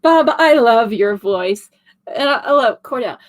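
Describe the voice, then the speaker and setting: A woman with a raspy voice co-hosting a casual paranormal investigation chat, laughing easily as she talks. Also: on the high side, animated, unhurried.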